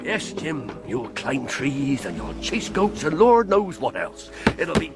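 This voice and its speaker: An actor playing a wily, genial, scheming pirate sea cook in a radio drama. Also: male voice, fairly high pitch, very animated, slow, loud music behind the voice, full character voice. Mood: jovial.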